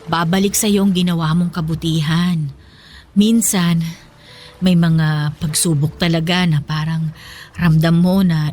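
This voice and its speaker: A woman's voice: fairly low pitch, a little mellow. A woman playing a long-suffering, principled mother in a radio drama, speaking in an earnest, emotional way. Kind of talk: Filipino radio drama acting. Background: music.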